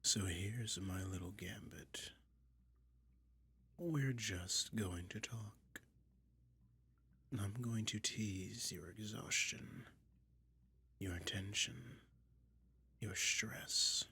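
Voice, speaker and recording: Slow, whispering sly dragon acted by a man. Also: on the low side, sharp tone, heavily acted. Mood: soothing, teasing. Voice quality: breathy, whispery, gravelly. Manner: slow, teasing, coaxing delivery. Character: sly, soothing male dragon lurking in a cave, toying hypnotist. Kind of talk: soft-spoken ASMR roleplay.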